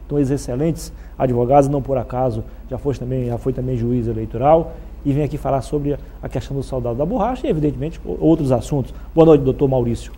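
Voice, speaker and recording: A Brazilian man with a husky voice, hosting a television talk show in a chatty presenting style. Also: mid-range voice, dark tone, a little hiss or hum. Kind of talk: live show presenting with sponsor read and chatty interviewing.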